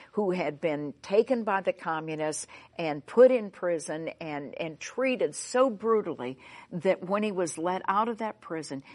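A middle-aged woman from the United States, a Bible teacher telling a story in a sermon. She speaks emphatically and acts out the dialogue. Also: sits low.

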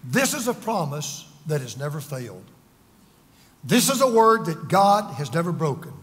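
A man's voice: on the high side, big pitch swings, moderate pace, a bit bright. A man over fifty preaching a sermon, earnest and emphatic, telling personal stories with self-deprecating humor. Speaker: pastor. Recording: long reverb of a large hall.

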